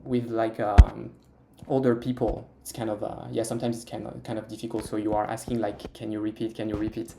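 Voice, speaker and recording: A man's voice: on the low side, flat delivery, fairly quick, creaky, hardly any pauses, mellow, a little hiss or hum. A young man with a French accent, chatting as an interview guest about learning Mandarin, hesitant with many fillers and restarts. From France. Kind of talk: casual interview chat.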